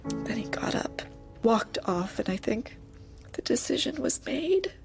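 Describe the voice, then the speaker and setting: A woman's voice: on the high side, big pitch swings, a little slow, soft background music. An actress playing a meek, anxious female employee and young mother in an audio drama, hesitant, deferential and subdued. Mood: quietly grieving. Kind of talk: audio drama acting, dark comedy.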